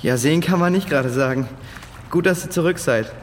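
A man's voice: a little high, brisk, close to an everyday voice. A male actor playing an irritable, anxious boy adventurer in a children's audio drama. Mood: irritated, anxious. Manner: grumbling, impatient. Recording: reverberant cave effect, faint water noise.

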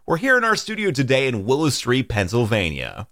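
A man's voice: mid-range voice, huge pitch swings, fairly quick, fairly bright tone, dry recording. Man hosting a podcast, dry studio sound. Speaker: podcast host. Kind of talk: scripted introduction.

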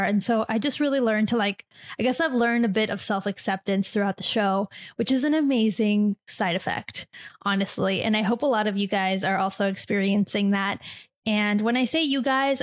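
A young woman from Chile raised in the US, hosting a casual solo podcast and talking in a chatty, rambling way full of "like". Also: medium pitch. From born in Chile, raised in the US. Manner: chatty, rambling, many "like"s and restarts. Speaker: podcast host.

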